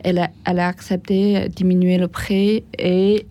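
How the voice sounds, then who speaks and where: A woman with a foreign accent, speaking French as a second language, tells a personal story haltingly as an interview guest. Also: on the low side, level tone, warm tone. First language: not French, speaks it as a second language.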